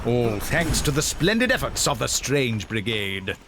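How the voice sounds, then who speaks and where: An actor playing a jaunty, pompous British adventure narrator in an old newsreel style for a game. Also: male voice, medium pitch, sing-song, a little slow, noisy background. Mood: excited, jaunty. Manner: pompous, theatrical. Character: jaunty, plummy old-time adventure serial narrator, male. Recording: game audio under loud gunfire.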